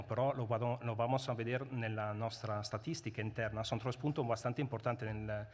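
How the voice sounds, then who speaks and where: A man from Italy with an Italian accent, a native Italian speaker talking as a vendor's panelist, haltingly, with Italian words slipping into his speech. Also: medium pitch. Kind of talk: conference panel talk. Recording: reverberant conference hall.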